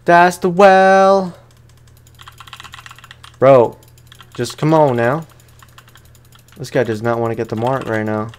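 A young man giving casual, slangy let's play commentary with animated, playfully exasperated reactions, as a gaming YouTuber. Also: mid-range voice, slow, long pauses, clean tone. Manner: slangy, informal.

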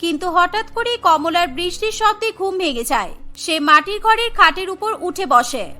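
খুব উঁচু, উজ্জ্বল গলার নারী গল্পকথক. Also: female voice, extremely high pitch, expressive, normal speed, pushed and bright. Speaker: storyteller, narrator. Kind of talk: scripted cartoon story narration.

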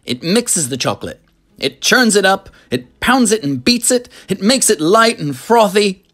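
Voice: medium pitch, big pitch swings, measured pace, a bit bright, voice pushed up. A narrator voicing a flamboyant, boastful man who owns a storybook factory, theatrical and full of exclamations. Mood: delighted, boastful.

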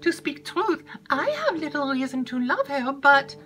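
A woman reading an audiobook and voicing a chattering, gossipy young maid with breathless, drawn-out emphasis. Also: high-pitched, sing-song, pushed and bright, soft background music, voice pushed up, exaggerated voice.

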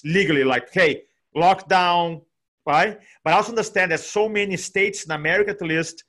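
A man in his forties with a Brazilian Portuguese accent, speaking on a video call in an energetic, animated way and repeating phrases for emphasis. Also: fairly high pitch. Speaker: guest speaker. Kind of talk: energetic motivational talk. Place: small room at home. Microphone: desk microphone on video call.

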